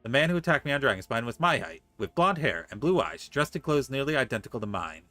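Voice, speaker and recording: A man's voice: medium pitch, very animated, fast talker, bright, forceful tone, no echo. A man livestreaming a game, reading story dialogue aloud articulately and adding playful comments. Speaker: game streamer.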